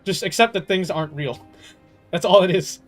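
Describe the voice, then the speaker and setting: A man's voice: sits high, normal speed, soft background music. A man chatting casually over gameplay as a livestreamer, rambling and opinionated, with slangy, amused, incredulous talk and some swearing. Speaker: livestreamer playing a video game.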